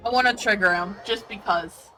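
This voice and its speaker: Casting: female streamer, forward bright tone, casual and blunt commentary over a game, faint music behind. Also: mid-range voice, moderate pace, low-quality recording. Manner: sweary, blunt. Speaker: gamer streaming and commenting on gameplay. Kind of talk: casual chat, gameplay commentary.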